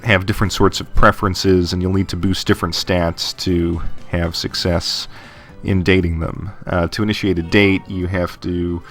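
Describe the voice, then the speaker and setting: Male game reviewer, very low voice, laid-back and rambling, faint game music under the voice-over. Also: normal speed. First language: English. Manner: relaxed, thinking aloud with frequent fillers and restarts.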